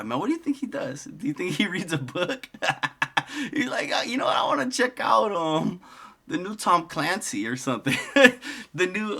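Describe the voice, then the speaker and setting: Male host, rambling swear-heavy commentary, bright voice, lively up-and-down delivery. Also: on the high side, creaky. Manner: profane, digressive, laughs often.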